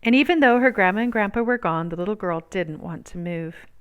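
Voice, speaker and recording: Clear, smooth-voiced woman reading a story aloud. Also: mid-range voice, expressive, brisk, highs cut off. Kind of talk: expressive read-aloud narration.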